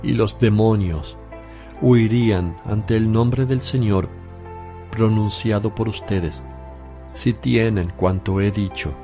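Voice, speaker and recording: An authoritative master teaching disciples in a religious radio drama. He speaks very slowly and solemnly, in a mellow tone. Music sits behind him. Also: on the low side. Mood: solemn instructing. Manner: measured, solemn, exhortative.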